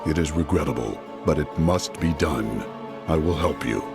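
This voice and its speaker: An actor playing a grim, resolute male hero in a game, speaking in a solemn, steady way. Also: extremely low pitch, normal speed, music in the background.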